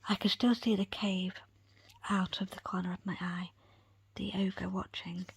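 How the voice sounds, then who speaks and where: Woman doing asmr book reading, super breathy whisper, takes her time, really dry close sound. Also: mid-range voice, flat delivery, unhurried, no echo. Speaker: audiobook reader. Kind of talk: ASMR whispered first-person story narration.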